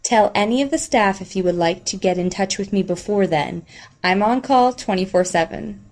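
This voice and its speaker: A woman voicing a composed, weary female therapist in an audiobook, speaking in a measured, professional way. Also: medium pitch, darkish tone, lightly acted. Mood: calm, professional.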